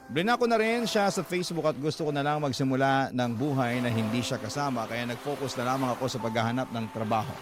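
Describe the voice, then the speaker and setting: A man's voice: mid-range voice, fast, few pauses, lightly acted. Man as a storytelling young man over music. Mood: reflective. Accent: Filipino. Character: troubled young man telling his life story. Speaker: story narrator in a radio drama. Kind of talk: first-person scripted narration. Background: background music.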